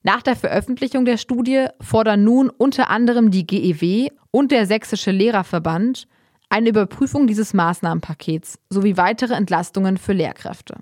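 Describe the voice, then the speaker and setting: A woman hosting a podcast, reading news items in an even, read-aloud way before moving into an interview. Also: mid-range voice, moderate pace, dry recording, clean studio sound. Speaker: podcast host.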